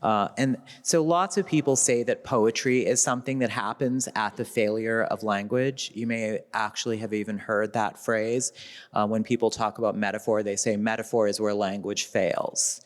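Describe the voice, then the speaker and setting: A man's voice: lowish voice, steady tone. Midwestern American man, reverberant hall. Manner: thoughtful, laughs lightly, slows down when reading lines aloud. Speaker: literary translator on a festival panel. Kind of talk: reflective panel talk. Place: festival event hall.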